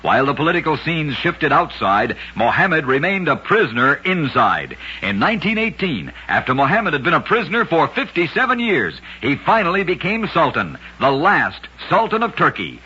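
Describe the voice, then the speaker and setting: Husky-voiced man narrating vintage radio oddities. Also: fairly high pitch, very animated, bright, forceful tone, muffled recording. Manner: brisk, emphatic storytelling with punchy catchphrase endings. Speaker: radio host and storyteller. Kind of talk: scripted old-time radio narration.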